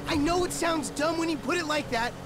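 An actor playing a whiny young American slacker son in a video game, slangy and defensive. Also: male voice, very high voice, fairly quick. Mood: aggrieved. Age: young adult. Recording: clear vehicle noise behind.

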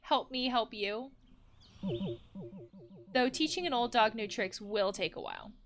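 A woman's voice: a little high, a little slow. A woman voicing an anxious, needy guard wolf from a visual novel game, dramatic and pleading, prone to alarm. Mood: earnest, pleading.

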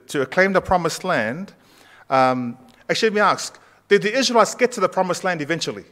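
A pastor in his thirties preaches to his congregation in a church sanctuary. His voice sits fairly high for a man, and he keeps the sermon loose and conversational, putting questions to the people in the pews. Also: a bit bright. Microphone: far camera at back of church.

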